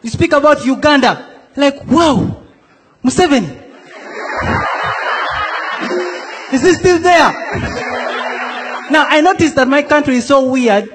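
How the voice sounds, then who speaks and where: Young guy from south sudan doing stand-up, really high voice, big hall with laughter. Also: animated, slow, pauses often, fairly bright tone, soft background music. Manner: playful, acts out quoted characters. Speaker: stand-up comedian. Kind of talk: stand-up comedy set. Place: comedy stage in a large hall before a laughing audience.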